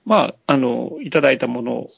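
A man's voice: medium pitch, lively intonation, a little fast, creaky, slightly rough, dry recording, clean studio sound. A man co-hosting a casual podcast, talking politely and supportively with an apologetic manner. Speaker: podcast co-host.

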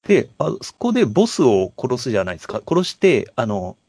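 Man, podcast co-host, animated pitch movement, husky, hesitant word-searching, casual discussion. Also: fairly quick, no room sound, studio quality.

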